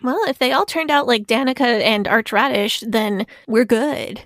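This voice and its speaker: Woman podcast host, earnest chatty storyteller, higher voice, dry close recording. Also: moderate pace, a bit bright, dry recording. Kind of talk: casual podcast chat.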